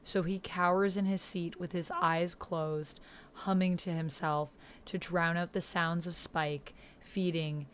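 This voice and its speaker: A woman's voice: lowish voice, a little slow, a little hiss or hum. A woman playing and hosting a text roleplay, reading out posts and reacting casually and expressively. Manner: expressive, gasping and exclaiming reactions between read passages. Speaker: solo tabletop roleplay player and host.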